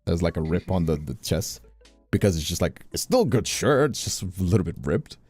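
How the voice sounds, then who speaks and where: A man's voice: low-pitched, very animated, fairly quick, darkish tone, breathy edge, dry recording, faint music behind. A man streamer talking casually on a livestream, rambling and emphatic, repeating phrases as he goes, close on a studio microphone. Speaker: streamer and host. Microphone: close studio condenser microphone.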